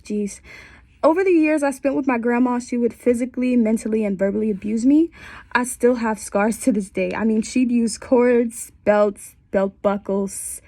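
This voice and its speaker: A woman's voice: a little high, fairly even intonation, normal speed, mellow, dry recording. Congested young woman telling her story. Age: 20s. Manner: candid and conversational, with wry asides. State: congested from a cold. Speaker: student. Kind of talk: personal story for a class assignment. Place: small bedroom by a window.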